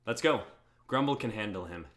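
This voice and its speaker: A man's voice: on the low side, lively intonation, normal speed, a bit bright. A young man playing a brash, hot-headed card-summoning fighter, dramatic and cocky, in an amateur script reading. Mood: tense. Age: young adult.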